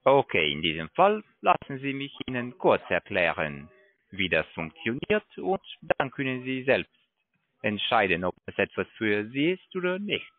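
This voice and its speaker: A man cold-calling as a sales agent, giving a scripted pitch over the phone. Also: big pitch swings, normal speed. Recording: muffled narrow phone line.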